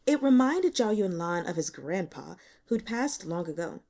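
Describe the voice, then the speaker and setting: A woman reading a novel aloud as scripted audiobook narration, with expressive, dramatic storytelling. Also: lowish voice, normal speed. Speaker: audiobook reader.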